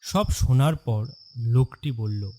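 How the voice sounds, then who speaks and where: A man's voice: medium pitch, slow pace, mellow. A man narrating a horror story in a dramatic, scripted style. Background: music.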